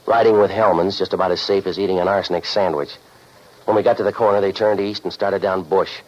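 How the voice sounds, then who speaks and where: An American actor playing a sardonic, hard-boiled private eye who narrates his case deadpan in 1940s radio noir style. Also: deep voice, fast talker. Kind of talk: 1940s radio noir acting, scripted first-person narration. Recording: muffled, noisy 1940s radio recording.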